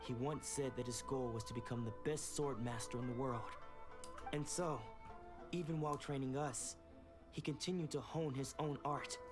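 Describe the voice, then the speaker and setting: A man's voice: mid-range voice, steady tone, moderate pace, over music, natural voice. Man acting an earnest young sword disciple. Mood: apologetic, earnest. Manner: formal, polite, earnest. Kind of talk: video game dialogue acting.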